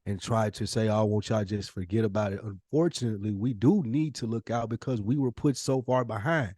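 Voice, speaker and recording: A man's voice: lowish voice, fairly quick, dark tone, no echo, studio quality. A man from the United States talking as a podcast host in casual, opinionated chat, slangy and emphatic in his delivery.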